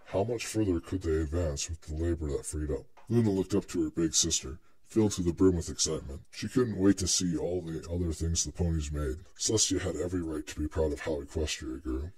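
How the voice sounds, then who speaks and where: Deep, husky male story narrator. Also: fairly quick, hardly any pauses, a little mellow, low-quality recording.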